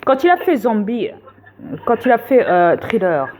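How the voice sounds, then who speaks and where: A woman's voice: a little high, sing-song, unhurried, darkish tone, slight background noise. A woman lecturing viewers insistently in a rambling casual monologue, with many fillers. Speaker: conspiracy video host.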